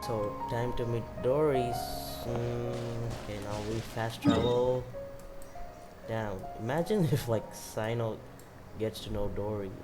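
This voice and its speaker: A man's voice: medium pitch, slow. A man giving casual gameplay commentary as the host of a gaming video. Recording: loud game music behind. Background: game music.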